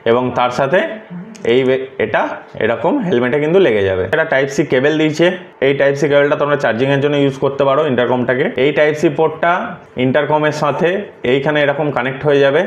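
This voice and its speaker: Fast-talking man explaining hands-on. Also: mid-range voice, highs cut off. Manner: explanatory, mixing English words into sentences. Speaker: vlogger presenting a product unboxing. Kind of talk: casual hands-on tutorial.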